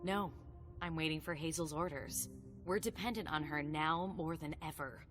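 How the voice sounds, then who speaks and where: A woman as a low-voiced, guarded vampire sire. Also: moderate pace, natural voice. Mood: guarded, measured. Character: older-bloodline female vampire sire, cynical, guarded, protective. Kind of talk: video game cutscene dialogue acting. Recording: game music underneath. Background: background music.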